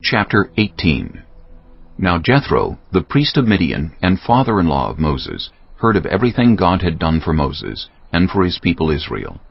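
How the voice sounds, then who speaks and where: Male narrator for scripture audio: deep, solemn, measured, slightly muffled recording. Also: creaky, slightly rough, no echo.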